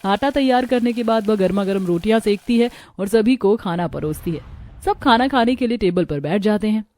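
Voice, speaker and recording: A woman's voice: medium pitch, brisk, a little mellow. A woman narrating a scripted moral story as a storyteller.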